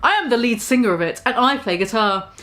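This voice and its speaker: A woman's voice: on the high side, animated, brisk, fairly bright tone. A woman giving a mock-dramatic reading of a story, breaking off into sarcastic asides. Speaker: comedic reader.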